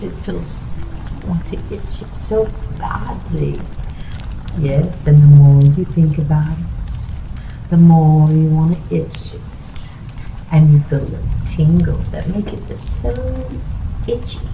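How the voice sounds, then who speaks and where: In an echoey room, a woman plays a playful, boastful witch hypnotist for an ASMR roleplay. The voice sits fairly low and moves very slowly, coaxing and teasing the listener with a touch of madness. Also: vocal fry, heavily acted. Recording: noisy recording in echoey room.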